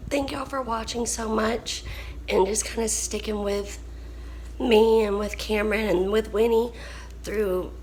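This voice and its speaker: A young woman vlogging casually, hoarse and coughing, weary and frustrated. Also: a little high, steady tone, a little mellow, slight background noise, low-quality recording. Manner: tired, frustrated, drawn-out speech. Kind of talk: casual vlog chat.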